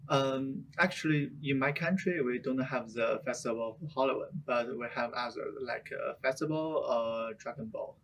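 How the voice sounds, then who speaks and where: A young man from China, a student answering interview questions in a halting way. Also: mid-range voice, a bit bright, slight background noise, poor audio. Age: young adult. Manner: halting, searching for words.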